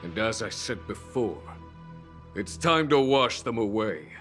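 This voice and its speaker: A man voicing a calm, resolute general in an anime game, with a grave and deliberate delivery. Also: fairly low pitch, soft background music.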